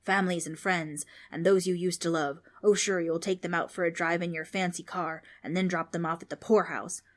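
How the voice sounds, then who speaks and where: A woman reading aloud, voicing a loud, scornful male ghost who mocks children. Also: on the low side, fairly even intonation, a little fast, close to an everyday voice. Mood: mocking. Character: bossy male ghost, gruff and mocking.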